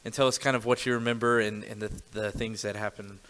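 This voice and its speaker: A man's voice: lowish voice, steady tone, quick pace, fairly bright tone, slight background noise. A young man from Missouri hosting a church podcast conversation, speaking hesitantly with many fillers and restarts. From Joplin, Missouri. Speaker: podcast host.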